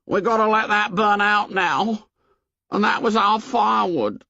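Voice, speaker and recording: A man's voice: sits high, unhurried, vocal fry, sharp tone, no room sound, lo-fi sound, voice pushed up, heavily acted. A man voicing a plump, anxious schoolboy who scolds and pleads in earnest, indignant bursts. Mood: nervous.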